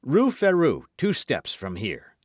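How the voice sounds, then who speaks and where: A man's voice: mid-range voice, huge pitch swings, takes it slowly, dry recording, clean studio sound, voice pushed up, exaggerated voice. A man voicing a bold, gallant young guardsman in an adventure audiobook, with a courtly, emphatic, eager manner. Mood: confident, gallant. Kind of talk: audiobook character dialogue.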